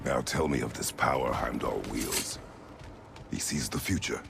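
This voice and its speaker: An actor playing a stoic, grim middle-aged Greek warrior in a video game, speaking in terse, solemn, formal phrases. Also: male voice, a little slow, background noise, full character voice. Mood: cold resolve. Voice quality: very deep gravelly voice. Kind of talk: dramatic video game acting.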